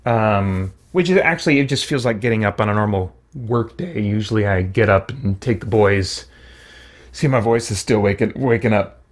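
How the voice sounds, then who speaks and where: A man's voice: on the low side, moderate pace. A man hosting a game livestream, talking casually as he plays and addresses his viewers. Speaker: livestream host playing a fantasy role-playing game.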